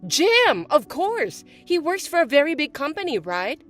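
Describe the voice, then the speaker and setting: A woman voicing a bratty, spiteful young stepsister who talks in a shrill, mocking, bossy way. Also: big pitch swings, unhurried, fairly bright tone, soft background music, heavily acted. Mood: gloating. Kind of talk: voiced text-message drama.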